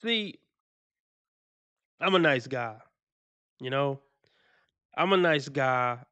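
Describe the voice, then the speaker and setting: A man's voice: medium pitch, expressive, slow pace, bright, forceful tone, no room sound. A man hosting a stream, reacting to clips in slangy, casual talk, laughing and repeating his points for emphasis.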